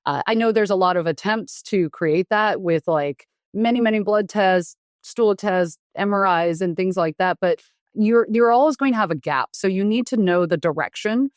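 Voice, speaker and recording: A woman doctor who grew up in the US after being born in Taiwan, explaining frankly in a relaxed interview with many fillers and restarts. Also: medium pitch, normal speed, dry recording, clean studio sound. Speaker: doctor and guest. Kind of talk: conversational interview.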